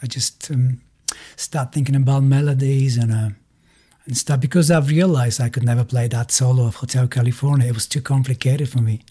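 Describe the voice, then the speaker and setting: A middle-aged man with a Bulgarian accent, speaking haltingly in non-native English as he tells his story in a casual interview. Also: mid-range voice, fairly even intonation, dark tone, husky. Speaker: musician being interviewed.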